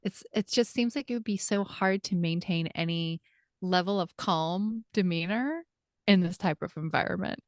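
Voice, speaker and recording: A woman's voice: fairly low pitch, normal speed, no echo, clean studio sound. A woman hosting a podcast, chatting in a thoughtful, agreeable way with plenty of casual fillers. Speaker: podcast host, life coach. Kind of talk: casual conversation.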